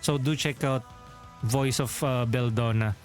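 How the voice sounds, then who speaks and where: Filipino-accented male streamer, mid pitch, loose rambling chat, faint music under. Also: steady tone, unhurried. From Philippines. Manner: rambling, self-correcting, chuckles often. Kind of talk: casual livestream chat.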